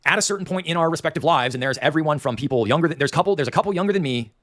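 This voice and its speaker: A nasal-voiced man with a Dayton, Ohio Appalachian accent hosts a livestream, ranting in long, opinionated digressions. His pitch swings widely as he talks. The sound is dry and close. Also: animated, racing, a bit bright, no echo. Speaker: livestream host. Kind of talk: rambling livestream commentary.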